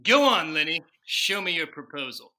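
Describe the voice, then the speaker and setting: A man playing a vain, excitable president in a radio comedy sketch, speaking pompously and emphatically. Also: fairly high pitch, normal speed, exaggerated voice, poor audio. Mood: grandiose, excited. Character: vain, excitable male president George Washington. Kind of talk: old-time radio sketch comedy parody.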